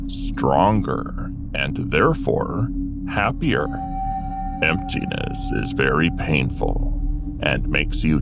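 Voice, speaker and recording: A man narrating a scripted fantasy tale in the first person as an otherworldly being, explaining it deliberately and directly to the listener. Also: very deep voice, creaky. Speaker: storyteller. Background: music.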